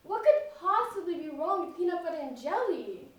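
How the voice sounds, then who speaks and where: A young woman acting in an amateur stage comedy as an eager, increasingly exasperated dinner date, expressive and incredulous. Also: very high-pitched, fairly quick. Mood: hopeful, insistent. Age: teenager or young adult. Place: echoey indoor stage, recorded from afar. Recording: echoey stage, distant mic, faint noise.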